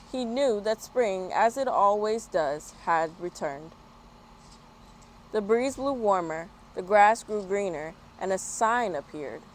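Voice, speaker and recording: A woman hosting a children's story time, reading and chatting in an expressive manner. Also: mid-range voice, poor audio. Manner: expressive, spells words out slowly. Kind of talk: children's picture book read-aloud. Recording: clear background noise.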